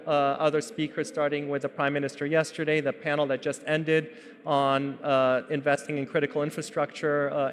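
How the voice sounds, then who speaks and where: Male panel moderator, formal and halting, slightly high voice, long hall reverb. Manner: formal, with frequent "uh" fillers and restarts. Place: large conference hall.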